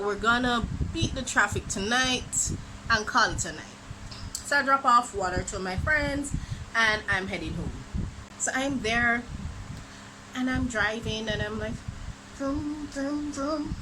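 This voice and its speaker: A young woman from Jamaica with a Jamaican accent, telling a dramatic storytime for her vlog in an animated, exclamatory way. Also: fairly high pitch. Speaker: vlogger. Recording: noisy background ambience.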